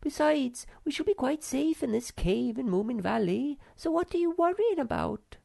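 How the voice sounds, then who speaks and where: Snork Maiden, a woman doing a vain high girlish creature. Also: expressive, moderate pace, vocal fry, mellow, no room sound, studio quality, heavily acted. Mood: breezy. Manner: high, breezy and coaxing. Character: vain sweet young female Snork Maiden. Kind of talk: audiobook character voice.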